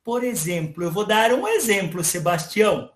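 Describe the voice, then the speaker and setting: A man voicing a friendly virtual young male AI character, explaining calmly to an audience. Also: high voice, normal speed, roomy sound. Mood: earnest. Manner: calm explanatory, addresses audience as "pessoal". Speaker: interviewee.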